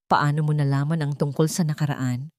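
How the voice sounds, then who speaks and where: A woman's voice: deep voice, steady tone, brisk, darkish tone, no echo, clean studio sound, close to an everyday voice. A woman voicing a betrayed, angry wealthy man in an audio drama, cold and accusing. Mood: suppressed anger. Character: betrayed wealthy adult son, angry and wounded.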